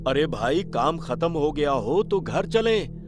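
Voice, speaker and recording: A man acts as a timid village farmer in a cartoon folk tale. His voice is high and swoops expressively, in slow, hesitant, exaggerated phrases over loud music. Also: sing-song. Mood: concerned, tired. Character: timid, plain-spoken male village farmer. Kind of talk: cartoon story dubbing.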